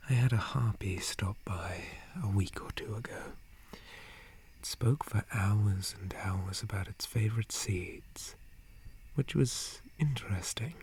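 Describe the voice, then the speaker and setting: A man playing a gentle, calm, friendly dryad forest guardian in a breathy ASMR whisper, with a soothing manner. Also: sits low, slight background noise. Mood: friendly, musing. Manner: slow, soothing, unhurried. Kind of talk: ASMR roleplay.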